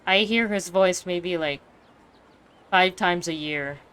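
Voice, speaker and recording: Slow-talking young woman game streamer. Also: fairly low pitch, fairly bright tone, clean tone. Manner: informal, slangy asides. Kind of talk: casual reaction commentary over gameplay.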